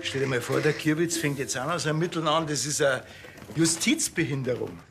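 Grumbling Bavarian cop, deadpan, slightly high voice, TV crime comedy. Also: male voice, brisk, gravelly, faint music behind. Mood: matter-of-fact. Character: dry, grumbling male small-town policeman.

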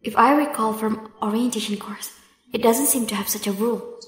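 A woman acting a young, deferential but privately exasperated assistant in a dubbed romantic drama, with a husky voice. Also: a little high, a little fast. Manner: polite, deferential.

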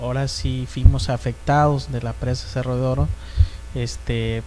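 A man in his thirties speaks with a Mexican Spanish accent, thoughtful and unhurried, leaning on little tag questions. His first language is Chinantec. He holds a handheld mic in a small indoor studio, with faint music underneath. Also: medium pitch, warm tone, low-quality recording. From San Felipe Usila, Oaxaca, Mexico. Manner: reflective, frequent fillers and tag questions. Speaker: guest. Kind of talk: casual talk show conversation.

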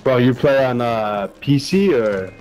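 Seorang pria dari Amerika Serikat beraksen Amerika, rekan satu tim dalam game, berbicara santai dengan bahasa gaul ala "bro". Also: male voice, mid-range voice, lively intonation, a little slow, a little mellow, soft background music. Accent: American English. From United States. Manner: laid-back slangy bro talk. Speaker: random teammate. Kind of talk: casual gaming chat.

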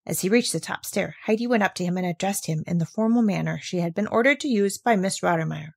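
A woman narrating a children's storybook aloud as an audiobook, with expressive delivery. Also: on the low side, fast talker, vocal fry, breathy edge, no room sound, studio quality.

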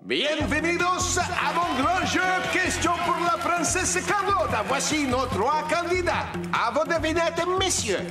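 A jovial old cartoon king, very high for a man and swooping up and down. He is a doting, theatrical father, exclaiming over music. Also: expressive, unhurried. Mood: gleeful showman. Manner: theatrical, exclaiming, booming showman delivery. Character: jovial older king, doting father who loves riddles. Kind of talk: French children's cartoon voice acting. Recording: music behind the voice.

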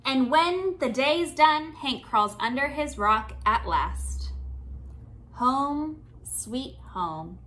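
A woman in her thirties reads a picture book to small children. Her voice sits high and swings widely in pitch. She takes it slowly, phrasing each line deliberately and expressively for a young audience. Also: animated, a bit bright, slight background noise. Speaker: children's storytime reader. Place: small indoor room with bookshelves.

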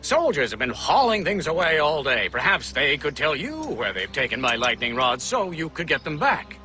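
An actor playing an excitable elderly gentleman inventor in a game cutscene, polite and eager. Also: a little high, moderate pace, faint music behind. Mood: genial. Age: older. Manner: genial, eager, a little fussy. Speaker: scientist. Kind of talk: video game cutscene acting.

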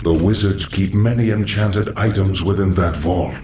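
An actor playing a servile, sinister undead lich sorcerer in a video game, solemn and hollow-voiced, with an echoing effect. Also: male voice, low-pitched, normal speed. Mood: solemn counsel. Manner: solemn, reverent. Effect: echoing reverb. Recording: echoing, distant, loud music behind.

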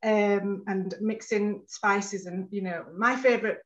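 A woman's voice: mid-range voice, steady tone, a little slow, lo-fi sound. A woman panelist telling her personal business story over a video call, with frequent fillers. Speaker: panelist, food business founder. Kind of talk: online panel answers.

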